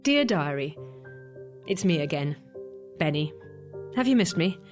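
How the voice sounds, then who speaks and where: A woman's voice: low voice, sing-song, a little slow, a little mellow, faint music behind. A woman playing a wry, playful adventurer confiding in her diary in a scripted audio drama. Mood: breezy, playful. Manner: breezy, playful, tongue-in-cheek.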